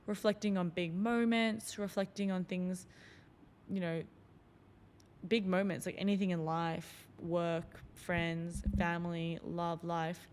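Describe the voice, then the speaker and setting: A woman hosting a solo podcast, her voice sitting slightly low for a woman. She talks in a loose, reflective way, sprinkling in "like" and "um". Her voice rings with a long reverb tail. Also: little pitch movement, slow pace, a little mellow, a little hiss or hum. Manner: reflective, loose, many fillers like "like" and "um". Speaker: podcast host.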